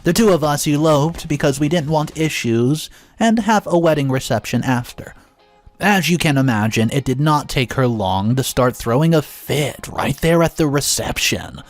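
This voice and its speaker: A man reading a first-person drama story aloud in a calm, even storytelling manner, with an occasional filler. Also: fairly high pitch, normal speed, no room sound, faint music behind. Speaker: story narrator.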